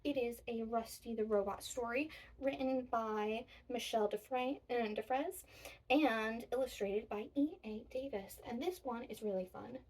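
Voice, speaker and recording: A woman's voice: a little high, measured pace, a little mellow, far from the mic, poor audio. A woman presenting a children's storytime, explaining activities and reading picture books in a sing-song, emphatic way.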